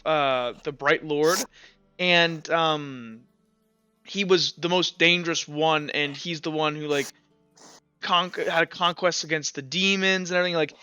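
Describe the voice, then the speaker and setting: A man working as game master, narrating and explaining casually in a halting, informal way. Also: on the high side, sharp tone, smooth, no echo. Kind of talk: casual tabletop roleplay narration. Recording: dull, lo-fi with music behind. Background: music.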